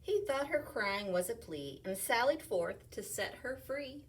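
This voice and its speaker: A woman reading a picture book aloud to children in an animated way, asking them questions and sometimes dropping to a whisper. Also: a little high, measured pace, far from the mic. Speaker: storytime reader, teacher.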